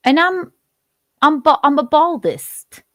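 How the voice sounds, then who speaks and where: A woman's voice: high voice, expressive, takes it slowly, fairly bright tone, dry recording, clean studio sound, voice pushed up, full character voice. A woman voicing a violent, unrepentant male hawk in his forties who boasts about attacking bald men. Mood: menacing. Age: 40s. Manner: gleeful, unrepentant, menacing boasts.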